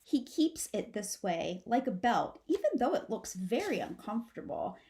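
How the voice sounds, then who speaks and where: A woman reading a children's story aloud like a teacher, in a measured, expressive way. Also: a little high. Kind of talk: storybook narration.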